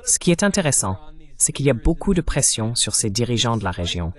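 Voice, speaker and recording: A man delivering a voice-over translation of political commentary in a measured, explanatory style. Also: medium pitch, sing-song.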